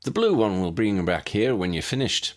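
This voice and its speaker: A man's voice: on the low side, sing-song, a little fast. A man voicing a friendly, chatty young Irish wizard in an audiobook, with an Irish accent and a lilting, conversational manner. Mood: helpful.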